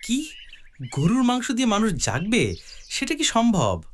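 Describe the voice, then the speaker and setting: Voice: mid-range voice, wildly swooping pitch, unhurried, a little hiss or hum. A performer voicing a curious, eager young grandson who asks questions in a children's cartoon. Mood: amazed, questioning.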